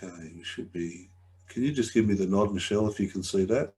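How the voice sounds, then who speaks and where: Deep-voiced man, slightly croaky. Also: brisk, warm tone. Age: middle-aged. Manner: hesitant, repeats words, many ums. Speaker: webinar presenter. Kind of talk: conversational presentation.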